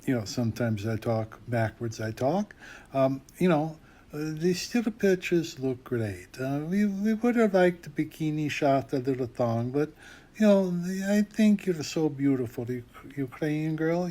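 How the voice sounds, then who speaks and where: Man playing a slow, halting foreign smooth talker. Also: on the high side, dark tone. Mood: coaxing, flattering. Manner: halting, rambling, repeats "you know". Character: smooth-talking foreign male trafficker flattering a young model. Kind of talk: film dialogue.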